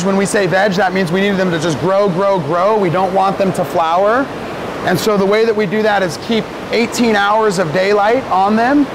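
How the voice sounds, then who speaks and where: An American man in his forties explaining things step by step in a plain-spoken way as he leads a facility tour. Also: creaky. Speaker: company president giving a facility tour. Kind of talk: explanatory guided tour, unscripted talk. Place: large indoor grow room with fans running. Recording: loud rumbling fan and machinery noise.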